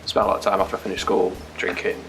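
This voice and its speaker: A man giving a relaxed, understated personal baptism testimony with frequent ums. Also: low voice, racing, soft background music.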